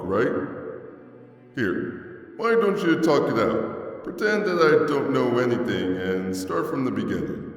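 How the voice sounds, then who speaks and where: A laid-back, wisecracking skeleton lab worker played by a man teases in an unhurried drawl. The intonation rises and falls freely, casual and wry as in a comic dub. It rings with big distant reverb over music. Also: mid-range voice. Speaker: voice actor in a fan comic dub. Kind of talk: dramatic comic dub acting.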